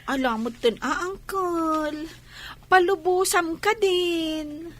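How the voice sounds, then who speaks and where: A woman's voice: very high voice, slow pace, a bit bright, exaggerated voice. A woman playing a persuasive boutique-owning cousin in a village comedy radio drama, coaxing and pleading as she talks. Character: persuasive, coaxing female cousin who runs a town boutique.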